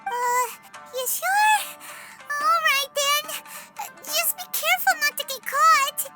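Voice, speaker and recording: A woman voicing a small, childlike, excitable fairy companion in an anime game, with a squeaky voice and exclamatory, talkative delivery. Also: slow pace. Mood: nervous. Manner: refers to self in third person, exclamatory. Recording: loud music behind.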